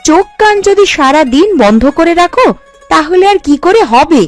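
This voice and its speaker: A woman playing an outspoken, high-pitched village woman. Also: animated, moderate pace, soft background music. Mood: indignant, scolding. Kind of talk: animated folk-tale cartoon dubbing.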